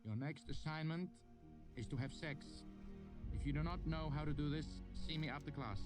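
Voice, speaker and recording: A man's voice: a little high, moderate pace, voice pushed up, close to an everyday voice. A man voicing an eccentric, mischievous male master teacher who speaks abruptly and dismissively. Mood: wry. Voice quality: shouting, then dismissive. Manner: abrupt, playfully theatrical. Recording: music playing under the voice.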